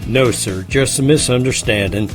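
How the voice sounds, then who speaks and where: A man's voice: medium pitch, moderate pace, natural voice. A man acting as a firm, courteous, official-sounding army sergeant in an audio drama. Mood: deferential. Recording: music bed behind.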